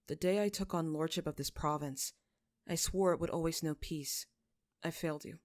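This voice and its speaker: A woman's voice: deep voice, steady tone, moderate pace, no room sound, studio quality, natural voice. A woman voicing an earnest young male lord in a story, solemn and subdued. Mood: remorseful.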